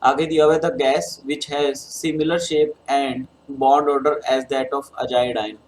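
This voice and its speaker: A man's voice: medium pitch, fairly even intonation, drawn-out words, slight background noise, low-quality recording. A man with a Hindi accent and a sore throat, teaching chemistry as he works through an exam paper step by step and calling his students beta. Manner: addresses students as beta, talks through steps. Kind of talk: exam solution lecture.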